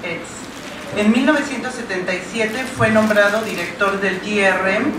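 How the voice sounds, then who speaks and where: A woman hosting a conference, formally reading out the introduction of the keynote speaker in a measured way. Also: medium pitch. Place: large conference hall. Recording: echoey hall from far off, noisy.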